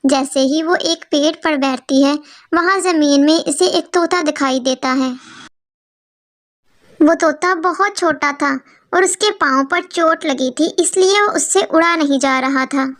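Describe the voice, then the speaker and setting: A woman's voice: high voice, unhurried, a bit bright. A woman narrating a scripted children's story.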